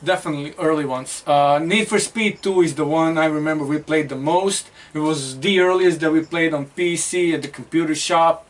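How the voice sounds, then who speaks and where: A man's voice: on the high side, fairly quick, few pauses, recorded from a distance. A man co-hosting a casual movie review chat, rambling with lots of fillers and restarts. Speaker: co-host.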